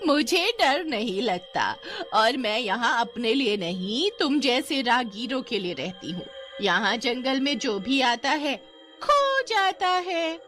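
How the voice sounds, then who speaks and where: A woman's voice: fairly high pitch, sing-song, slow pace, a bit bright, soft background music, full character voice. A woman voicing a sly old female cook in a cartoon, coaxing and sweet-talking with stretched words.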